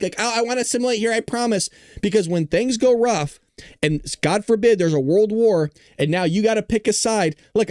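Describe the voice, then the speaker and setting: Male political host: blunt, indignant, emphatic, slightly high voice, dry sound. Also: darkish tone, dry recording. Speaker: political commentary show host. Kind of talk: opinionated political commentary to viewers.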